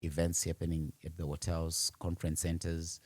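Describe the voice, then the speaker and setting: Very deep-voiced Zimbabwean man. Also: completely flat delivery, moderate pace, breathy edge, no echo. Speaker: podcast guest, audiovisual and livestreaming business founder. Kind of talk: conversational interview answers.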